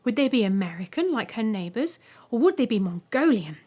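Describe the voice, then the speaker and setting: A woman reading a scripted short story as an audiobook narrator, with expressive storytelling. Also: a little high, brisk, husky.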